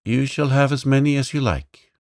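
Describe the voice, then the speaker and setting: A man's voice: medium pitch, moderate pace, darkish tone, no room sound, clean studio sound, voice pushed up, natural voice. A man reading aloud, voicing an impetuous, bossy little girl who talks frankly and eagerly.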